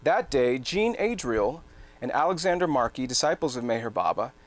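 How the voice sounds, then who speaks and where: Male narrator, mid-pitched, very varied intonation, measured scripted storytelling, live dramatic reading.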